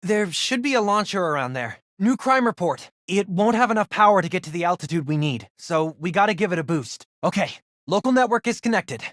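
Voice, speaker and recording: A man playing a nerdy, excitable teenage boy in a video game, the hero's tech-savvy best friend, with a casual, wisecracking delivery. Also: high-pitched, a little fast, no echo, studio quality. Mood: focused, upbeat.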